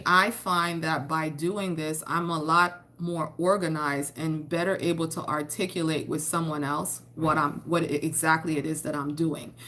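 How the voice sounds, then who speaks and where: Bright-voiced homeschooling mom chatting. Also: female voice, on the low side. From Haiti. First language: French. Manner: chatty, restarts and repeats words. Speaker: homeschooling mother and vlogger. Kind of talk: casual chat to camera.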